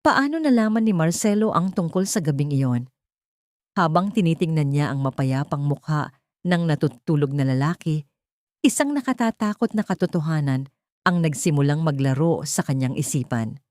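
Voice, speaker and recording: A woman's voice: sits low, very animated, normal speed, darkish tone, no room sound, clean studio sound. A woman with a Filipino accent reading a dramatic story as an audiobook narrator.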